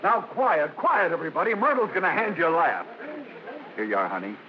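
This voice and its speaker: An actor playing a bustling, wisecracking member of a vaudeville troupe, in old-time radio style. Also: male voice, on the high side, wildly swooping pitch, brisk. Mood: bustling, teasing. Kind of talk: 1940s-50s radio acting. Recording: dull, very noisy old radio broadcast.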